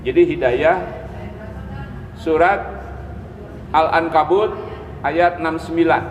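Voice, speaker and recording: Man, Islamic teacher lecturing; slow, instructive delivery with repeated phrases, recorded in an echoing hall with some background noise. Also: a little high, muffled recording. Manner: didactic, repeats key phrases, pauses to check with listeners. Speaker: Islamic preacher, religious teacher. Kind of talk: sermon, religious lecture to a study group. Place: mosque hall, live religious gathering. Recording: echoey hall with long reverb, background noise.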